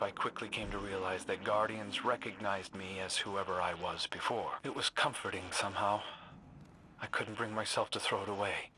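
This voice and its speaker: Low, bright-voiced brooding young guardian. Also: male voice, slight background noise, poor audio. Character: gentle, brooding amnesiac reborn male guardian hunter. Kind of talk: dramatic video game cutscene acting.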